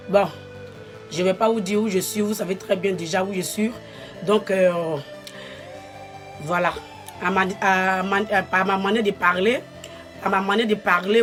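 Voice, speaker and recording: Woman addressing followers over music. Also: mid-range voice. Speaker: social media personality. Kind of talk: casual selfie-style address to followers. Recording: music playing behind voice.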